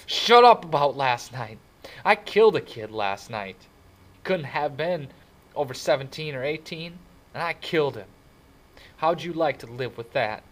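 A man's voice: mid-range voice, animated, moderate pace, voice pushed up. A man voicing a frightened, guilt-ridden teenage boy in an audiobook, with a strained, quavering, slangy delivery. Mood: anguished outburst. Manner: anguished, blurting, slangy.

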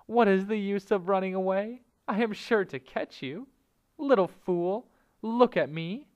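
Guy as a gloating lady cobra from a storybook, raised pitch, cold threats, taunting. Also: measured pace, dry recording, muffled recording. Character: menacing, vengeful female cobra, coldly gloating. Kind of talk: storybook character voice.

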